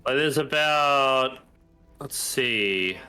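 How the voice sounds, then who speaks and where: A man's voice: mid-range voice, lively intonation, extremely slow, pushed and bright, smooth, soft background music, low-quality recording. A man with a stuffy nose from hay fever, chatting casually on a live stream and thinking aloud as he browses. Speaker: stream co-host.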